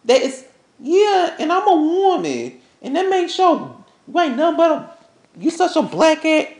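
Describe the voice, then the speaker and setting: High-pitched, scorned, furious woman acted out. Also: a little mellow, full character voice. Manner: stammering, repetitive, confrontational. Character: angry, heartbroken woman scorning her cheating lover. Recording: echoey, roomy sound.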